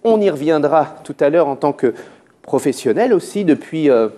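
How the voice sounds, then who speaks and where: A man's voice: fairly high pitch, animated, normal speed, mellow. A man hosting a TV talk show, teasing his co-host and greeting his guest in a playful, mock-formal way.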